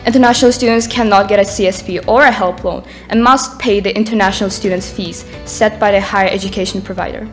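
Earnest teen girl from Ukraine, mid-pitched, reading a speech at a conference lectern. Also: fairly even intonation, quick pace, hardly any pauses, faint music behind. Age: teens. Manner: earnest, reading from notes, rises in intensity near the end. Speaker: student speaker. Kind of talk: prepared speech read aloud. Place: conference stage in a dark hall.

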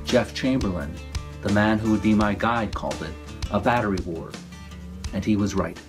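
A man with a fairly low voice tells his story in a measured, scripted narration. Music plays clearly beneath him throughout. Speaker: author narrating his book trailer. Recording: music bed under the voice.

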